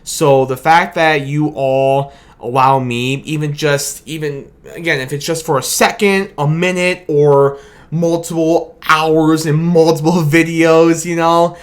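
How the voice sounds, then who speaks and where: A man's voice: on the high side, fairly even intonation, unhurried. A tired young man, his voice starting to go late at night, rambling through a long, grateful sign-off to his sports reaction video. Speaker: sports fan video host. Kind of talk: late-night post-game reaction monologue. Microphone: camera mic with his own light.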